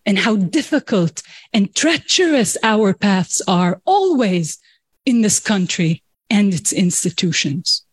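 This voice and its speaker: A woman's voice: mid-range voice, very animated, slow, breathy edge, no echo. A woman professor and festival organizer giving an impassioned, declamatory speech. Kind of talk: formal speech with recited poetry.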